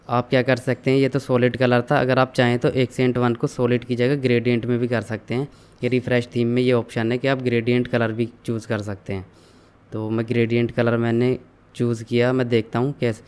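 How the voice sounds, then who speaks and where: A young man calmly walking viewers through an online store setup, step by step, mixing English terms into his explanations. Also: mid-range voice, fairly quick, clear, resonant tone. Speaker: online tutorial instructor. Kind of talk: screen-share software walkthrough.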